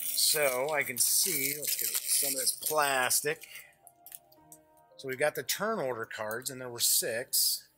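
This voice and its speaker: A man's voice: medium pitch, slow pace, over music, poor audio. A man hosting a game show, giving casual, off-the-cuff unboxing commentary in a chatty, jokey way, often muttering asides to himself. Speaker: host of a tabletop game review show.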